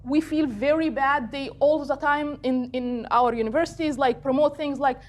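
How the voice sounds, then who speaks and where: A middle-aged woman with an Egyptian accent, a native Arabic speaker, giving an earnest, deliberate political talk off the cuff. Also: high voice. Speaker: political activist and guest speaker.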